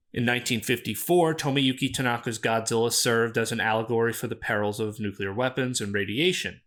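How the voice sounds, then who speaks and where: A man narrating a scripted video essay in a measured, explanatory way. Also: mid-range voice, a bit bright.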